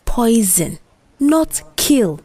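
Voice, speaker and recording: A woman voicing a bold, mischievous young office worker with a Nigerian accent, animated, dismissive and persuasive, in an audio drama. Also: on the high side, very slow, slightly rough, no room sound, pitched above the performer's own voice, heavily acted. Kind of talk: audio drama character dialogue.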